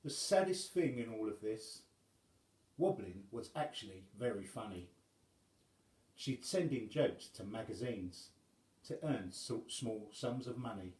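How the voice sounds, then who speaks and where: A man reading a rhyming children's story aloud as a storyteller, in measured phrases with dramatic pauses. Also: lowish voice, expressive, a little mellow, roomy sound, rough recording.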